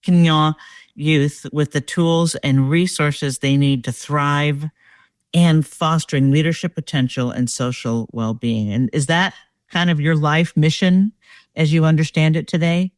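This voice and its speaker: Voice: mid-range voice, steady tone, dry recording, clean studio sound. An executive coach hosting an interview, guiding the guest with questions and reading captions aloud. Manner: guiding, reads captions aloud, pauses to check pronunciation.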